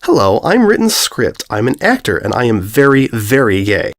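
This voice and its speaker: A man's voice: medium pitch, sing-song, normal speed, gravelly. Man voicing a breezy, oblivious stallion pony. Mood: breezy, candid.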